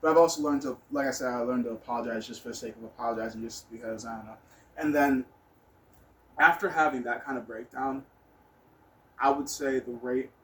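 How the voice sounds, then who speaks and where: Man rambling candidly, noisy recording. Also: medium pitch. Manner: blunt, candid, swearing. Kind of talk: casual personal storytelling, rambling monologue. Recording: noticeable background noise.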